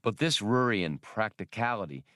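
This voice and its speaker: A man's voice: lowish voice, lively intonation, normal speed, bright, forceful tone, no room sound, clean studio sound. A man reading an adventure novel aloud as scripted narration. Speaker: audiobook narrator.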